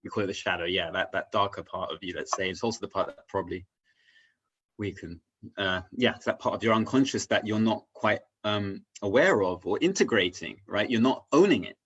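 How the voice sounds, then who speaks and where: A man talking casually and reflectively as a guest, haltingly searching for his words and often checking in with "right?". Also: on the low side, fairly bright tone, husky, dull audio. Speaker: interview guest on spirituality.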